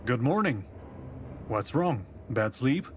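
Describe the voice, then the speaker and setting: Tsarist-era detective, visual novel, polite, measured, formal, medium pitch, faint train noise behind. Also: male voice, sing-song. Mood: matter-of-fact. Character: composed male police detective from the capital in tsarist Russia.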